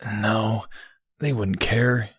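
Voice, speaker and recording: A man voicing a weary, injured young male pony in an audio story, soft and downcast. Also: on the low side, level tone, extremely slow, husky, dry recording, studio quality, heavily acted. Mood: weary, sad.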